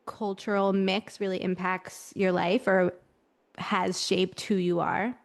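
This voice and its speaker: A woman's voice: lowish voice, little pitch movement, takes it slowly, gravelly. Affirming woman podcast host. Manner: warm, affirming, thoughtful questions with "mm" listening. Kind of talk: interviewing.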